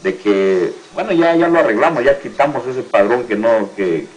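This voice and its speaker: A man with a Guatemalan accent, giving political commentary as a union representative, often halting and repeating words. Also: mid-range voice, expressive, poor audio. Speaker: teachers' union representative, interview guest.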